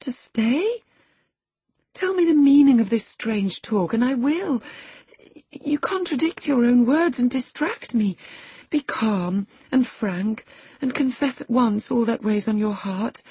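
A woman's voice: medium pitch, very animated, unhurried, warm tone, husky, dry recording, pitched above the performer's own voice, full character voice. A woman voicing a spirited, impatient young lady in an audiobook, proud, emphatic and demanding. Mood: alarmed, earnest. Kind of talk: audiobook character acting.

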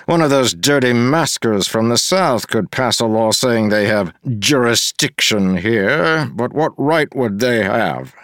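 A man's voice: mid-range voice, lively intonation, fairly bright tone, no echo, clean studio sound, pitched above the performer's own voice. A man playing a smug, gloating mayor. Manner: slow, pompous and taunting. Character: smug scheming male city mayor, gloating.

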